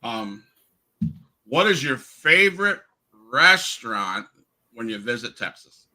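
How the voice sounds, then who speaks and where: A man's voice: mid-range voice, big pitch swings, slow pace, sharp tone. A man hosting a wrestling podcast, asking questions casually and reading out messages he got. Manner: friendly, reads questions and texts aloud. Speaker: podcast host.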